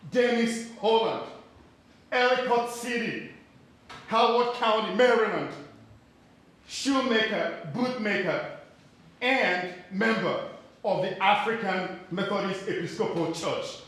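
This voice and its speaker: A male actor plays a son formally appealing for word of his lost father. He reads dramatically in a high male voice at a very deliberate pace. The hall echoes heavily around him. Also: a bit bright, full character voice. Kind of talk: dramatic reading of a historical newspaper ad. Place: theatre or hall.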